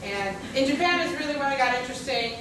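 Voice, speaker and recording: A woman's voice: high voice, expressive. Chatty young woman from Russia in an echoey room. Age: young adult. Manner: chatty, frequent fillers, quick asides. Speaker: panelist. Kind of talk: casual personal story on a panel. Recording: echoey room, far from mic, background noise.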